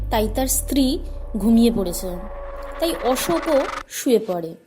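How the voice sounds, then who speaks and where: A woman's voice: a little high, background noise. A woman narrator reading a scripted horror story for a cartoon. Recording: music under the voice.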